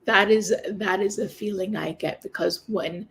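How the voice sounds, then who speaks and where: A hoarse woman on a video call, speaking haltingly as she describes feelings while standing in for someone's husband. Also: mid-range voice, a bit bright. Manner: searching, hesitant phrasing.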